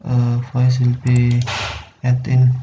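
A man narrates a step-by-step software tutorial in short, halting instructional phrases. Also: medium pitch, completely flat delivery. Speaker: tutorial presenter. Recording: dull sound, faint background noise.

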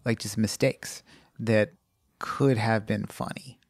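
A man's voice: fairly low pitch, steady tone, slow pace, dry recording, clean studio sound. A man co-hosting a podcast in casual chat, rambling with many "like"s and restarts. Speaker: podcast co-host.

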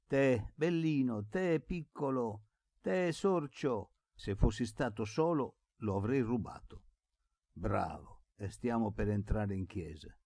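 A man's voice: mid-range voice, warm tone, no echo, full character voice. A man voicing a mischievous young servant boy in a storybook, taunting and playful in a sing-song way. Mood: playful, excited. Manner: taunting, sing-song, imitates bells and barking.

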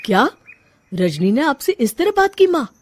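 A woman's voice: high-pitched, big pitch swings, a little slow, a little mellow, voice pushed up. A woman voicing a young husband who pleads with and comforts his wife. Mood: pleading. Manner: pleading, reassuring. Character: young concerned husband, gentle.

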